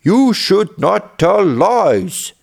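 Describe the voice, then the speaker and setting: A stern storybook villager, put on by a man, scolding with slow, heavy emphasis. The voice is raised and moralizing, with big swings in pitch. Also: big pitch swings, creaky, full character voice.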